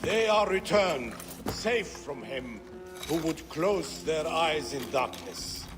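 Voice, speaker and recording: A mystical seer in a video game, chanting in a high, slow, measured voice. Loud music plays beneath his incantations. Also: lively intonation, in a large hall. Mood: solemn. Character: solemn male seer chanting a ritual.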